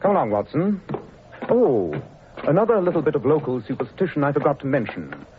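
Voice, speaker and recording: A brisk, commanding detective in an old radio drama, his lively voice rising and dipping as he gives orders. The sound is muffled, with a loud hiss running under it. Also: a little high. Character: brisk, commanding male detective, middle-aged. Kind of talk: 1940s radio acting.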